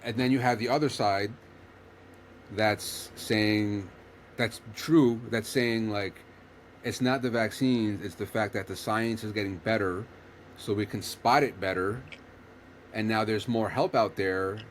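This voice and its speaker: A man's voice: on the low side, fairly even intonation, unhurried, a little hiss or hum. A man hosting a casual chat, explaining earnestly while rambling and restarting his sentences.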